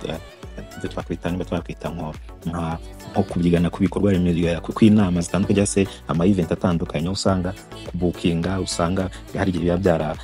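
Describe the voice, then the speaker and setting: A man's voice: deep voice, dark tone. A Rwandan man narrating a tour video, talking on with many restarts and fillers. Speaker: video presenter.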